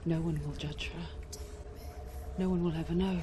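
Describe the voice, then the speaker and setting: A woman voicing an intimate, knowing inner voice that narrates a warrior's dread in a hushed, ominous, deliberate way for a dark fantasy game. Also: fairly low pitch, fairly even intonation, background noise, natural voice. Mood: knowing, brooding. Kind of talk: whispered dramatic narration. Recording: loud music bed behind.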